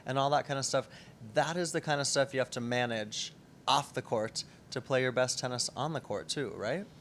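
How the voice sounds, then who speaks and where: Guy interviewing a tennis player, upbeat, laughs a lot, faint rumble noise. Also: normal speed, fairly bright tone. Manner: upbeat, chuckles often. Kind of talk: sports interview.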